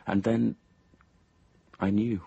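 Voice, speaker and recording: A man's voice: on the low side, little pitch movement, takes it slowly, vocal fry, mellow, breathy edge, no room sound, dull audio, exaggerated voice. An actor playing a troubled, anxious young man, narrating and acting in a radio drama. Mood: uneasy, haunted.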